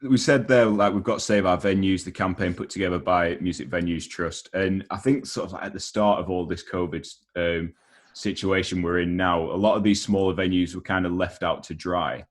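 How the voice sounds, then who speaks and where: A man's voice: sits low, steady tone, normal speed. A man with a British accent interviewing in a casual, easygoing, slangy way.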